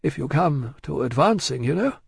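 Man playing a fussy, cautious old banker, lilting pitch. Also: animated, creaky, breathy edge, dry recording, clean studio sound, exaggerated voice. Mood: placating. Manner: hesitant, courteous, measured, fussy. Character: discreet, anxious elderly male bank man, polite and cautious. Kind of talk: acted audiobook dialogue from a classic novel.

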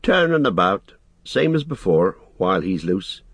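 A man's voice: deep voice, big pitch swings, measured pace, fairly bright tone, breathy edge, no echo, exaggerated voice. A man voicing a wary, loyal, plain-spoken hobbit servant in an audiobook, with a homely, blunt, grumbling way of talking. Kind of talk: audiobook character acting.

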